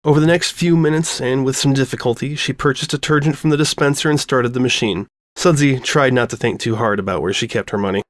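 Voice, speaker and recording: A man's voice: medium pitch, quick pace, few pauses, dry recording. Man narrating a story, close dry sound. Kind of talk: expressive scripted story narration.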